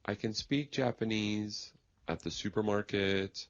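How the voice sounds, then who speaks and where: A man with a very deep voice talks slowly through an easy conversation for learners, choosing plain words with care. The sound is dull and lo-fi, with a dry, close feel. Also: dry recording. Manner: plain, careful wording. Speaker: conversation partner in English lesson. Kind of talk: slow, simple conversation for learners.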